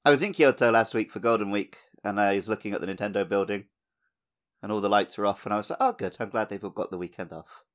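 A man's voice: lowish voice, fast. A man in his thirties co-hosting a gaming podcast, chatting casually and jokingly, often acting out bits of dialogue. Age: 30s. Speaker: podcast co-host.

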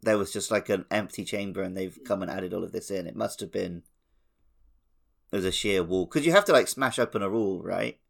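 A man's voice: sits low, a little fast, gravelly. A man co-hosting a podcast, casually discussing a video game. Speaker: podcast co-host.

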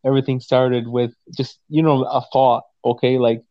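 Twenties man, mid pitch, profane and emphatic, dry slightly muffled sound. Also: flat delivery, normal speed, dry recording. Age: 20s. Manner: profane, emphatic, repeats "you know what I'm saying". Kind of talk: casual conversation.

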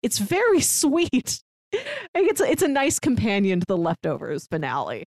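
A woman's voice: mid-range voice, wildly swooping pitch, normal speed, darkish tone, dry recording, studio quality. A woman co-hosting a podcast, chatting enthusiastically and recounting plots in a casual way. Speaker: podcast co-host. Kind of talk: casual chat.

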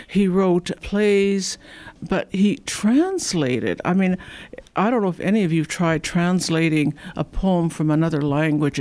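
A woman's voice: lowish voice, darkish tone, no echo. A woman who is a museum board member, leading a guided tour with enthusiastic, admiring talk.

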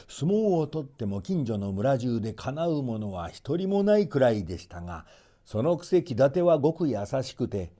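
Male storybook narrator: soft, mellow tone, expressive measured folktale delivery with playful emphasis. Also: mid-range voice.

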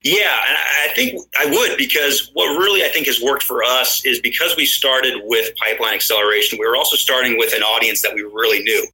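A man with an American accent whose first language is Danish, a guest on a podcast interview who explains things in a measured, thoughtful way. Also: medium pitch, bright, forceful tone, low-quality recording. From born in London, raised in the US. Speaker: guest, marketing executive.

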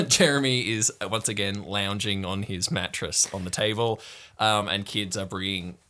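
A man's voice: on the low side, fairly even intonation, moderate pace, sharp tone. A man working as game master, improvising narration for a tabletop game in a wry, playful storytelling manner.

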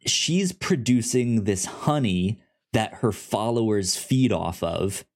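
A man co-hosting a podcast in casual chat, recapping a story in a halting way, often repeating words and syllables. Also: lowish voice, lively intonation. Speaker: podcast co-host.

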